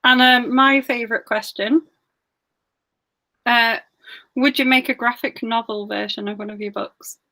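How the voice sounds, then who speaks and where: A chatty woman hosts an online author interview, reading out viewers' comments as they come in. Her voice is bright and crisp and sits somewhat high. She speaks over a video call. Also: steady tone, measured pace, long pauses. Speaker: book club interviewer.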